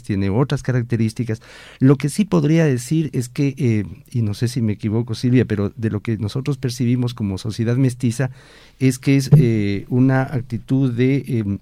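A man with a dark, mellow voice talks as a guest in a panel discussion. He is from Ecuador and speaks haltingly, with many fillers and repeated words. The sound is close and dry. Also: medium pitch, no room sound. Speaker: academic guest.

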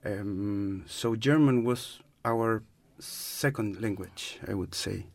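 Slow-talking man, English. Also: fairly low pitch, vocal fry, no room sound. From Chile. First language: Spanish. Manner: thoughtful, searching for words in a second language. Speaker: guest. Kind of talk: casual conversational interview.

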